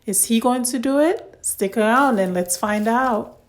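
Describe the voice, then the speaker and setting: A woman hosting and narrating a read-aloud story for young children in simple, deliberate phrasing. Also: fairly high pitch, slight background noise.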